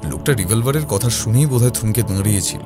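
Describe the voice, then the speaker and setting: A man playing a composed detective who recounts his investigation in a measured, explanatory first-person narration. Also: on the low side, animated, darkish tone, natural voice. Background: background music.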